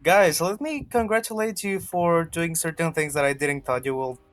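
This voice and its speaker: A man speaking as game master of a tabletop roleplaying game, with halting, deliberate phrasing. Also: on the high side. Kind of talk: casual game narration and chat.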